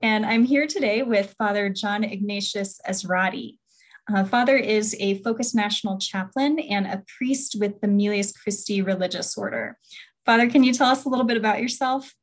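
A woman's voice: mid-range voice, normal speed. Woman hosting a conversational podcast. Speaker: podcast host.

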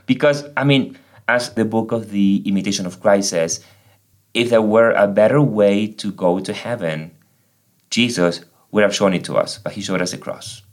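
Argentine guy priest on a podcast, lowish voice, earnest, spanish first language. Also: moderate pace. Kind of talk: podcast conversation.

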